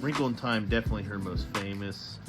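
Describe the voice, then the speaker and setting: A man's voice: lowish voice, fast talker, over loud music. A man hosting a storybook read-aloud, reading with dramatic emphasis and adding casual commentary. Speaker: host reading books aloud.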